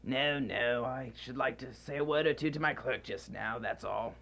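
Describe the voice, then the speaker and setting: A man's voice: medium pitch, moderate pace, gravelly, fairly bright tone. A man playing an anxious, remorseful old miser in a theatrical, pleading, exclamatory radio drama style. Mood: wistful regret. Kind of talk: live student radio drama acting.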